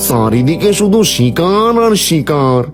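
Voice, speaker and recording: A man's voice: a little high, very animated, measured pace. A man voicing a boastful, menacing male predator animal in a children's cartoon. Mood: greedy gloating. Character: monstrous greedy boastful predator fox, and blustering male tiger. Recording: faint music behind the voice.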